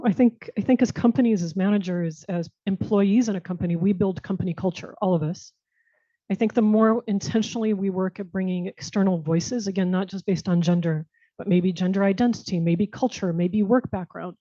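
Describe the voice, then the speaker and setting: A woman's voice: mid-range voice, dark tone, no room sound. An American woman speaking as a guest at a Q&A, answering earnestly and reflectively, often restarting her phrases. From United States. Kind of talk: conversational answers at a panel Q&A. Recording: muffled dull sound.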